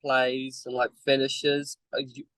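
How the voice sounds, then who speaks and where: Brief: English guy, mid-pitched, rambling anecdotes with chuckles, dry close sound in a car. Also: monotone, slow pace, a bit bright, dry recording. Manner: rambling storytelling, chuckles between lines. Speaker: band member. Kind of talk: casual interview chat. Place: inside a parked car.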